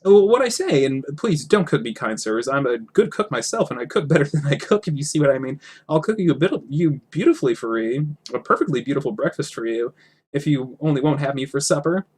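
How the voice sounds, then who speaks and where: A man's voice: medium pitch, animated, brisk, husky, pitched above the performer's own voice. A man voicing a small, timid, polite hobbit, nervous, stammering and pleading, in a storybook reading. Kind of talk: storybook character voice.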